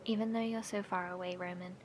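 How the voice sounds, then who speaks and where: A woman's voice: lowish voice, fairly even intonation, fast, background noise, close to an everyday voice. An actress playing a concerned, insistent woman survivor in a horror audio drama, speaking earnestly and seriously. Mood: hushed, sympathetic.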